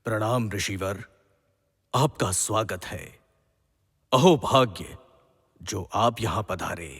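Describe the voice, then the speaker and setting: Courteous, devout king, played by a man, unhurried formal delivery, mythological radio play, long hall reverb. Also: on the low side, fairly bright tone, slightly rough, heavily acted. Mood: reverent, grateful. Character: humble, reverent, courteous king and new father. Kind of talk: formal Hindi mythological audio drama acting.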